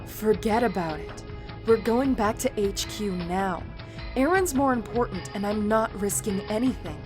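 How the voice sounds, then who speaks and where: A woman's voice: medium pitch, animated, unhurried, over music, close to an everyday voice. A woman playing a stern, protective teenage girl training as a hero in an audio drama.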